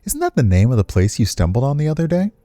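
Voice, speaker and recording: A man's voice: mid-range voice, very animated, fast talker, warm tone, no echo, soft background music, voice pushed up, lightly acted. A man reading visual novel dialogue as a nagging, inquisitive voice inside a young dancer's head. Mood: prodding.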